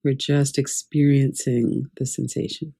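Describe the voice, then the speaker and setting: A woman teaching guided meditation in a calm, measured, gently instructive way. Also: a bass voice, gravelly, slightly rough. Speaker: yoga nidra meditation teacher.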